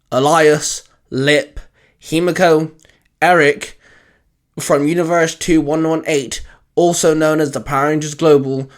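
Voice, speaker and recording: A man hosting and narrating a fan fiction story in a dramatic, deliberate, emphatic scripted style. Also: fairly high pitch. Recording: music under the voice. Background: music.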